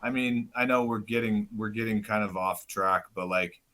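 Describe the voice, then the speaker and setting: A man guest on a podcast, talking loud and casual, swearing often and rambling as he goes. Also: on the low side, fairly even intonation, moderate pace. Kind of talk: casual chat.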